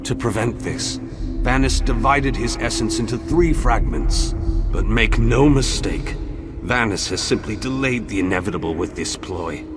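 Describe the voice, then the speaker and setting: An actor playing a pompous, self-assured great wizard in a video game, speaking in a grand, formal, theatrical way. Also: male voice, fairly low pitch, a little slow, close to an everyday voice. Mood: grave, explanatory. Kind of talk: video game character acting. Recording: loud music behind the voice.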